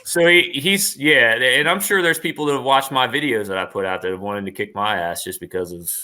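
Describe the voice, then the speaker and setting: A man's voice: mid-range voice, a little fast, bright, forceful tone. A man talking casually as an interview guest, enthusiastic and rambling, often repeating his phrases. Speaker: interview guest, online performer.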